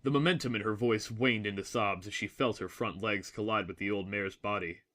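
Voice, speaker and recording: A man's voice: fairly low pitch, steady tone, a little fast, fairly bright tone. A man reading scripted, dramatic story narration as the narrator of an audio drama.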